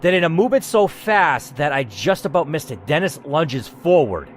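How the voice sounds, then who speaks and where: Male gaming host, lively and slightly high-voiced, dramatic story narration mixed with sweary off-the-cuff commentary. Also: moderate pace, slight background noise. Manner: theatrical, expressive reading with blunt, sweary asides. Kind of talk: dramatic narration of a text adventure with casual asides.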